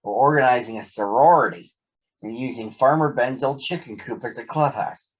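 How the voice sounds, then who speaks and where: A man with a husky voice reading a children's story aloud expressively, with theatrical pauses. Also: mid-range voice. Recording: dull lo-fi sound.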